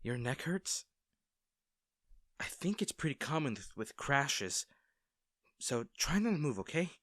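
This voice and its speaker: Slow-paced, caring young rescuer played by a man. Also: mid-range voice, sing-song, no room sound, clean studio sound. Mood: worried, reassuring. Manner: gentle, reassuring, hesitant. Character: Matthew, kind, worried young male rescuer at crash. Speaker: voice actor in roleplay audio. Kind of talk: acted first-person roleplay audio drama.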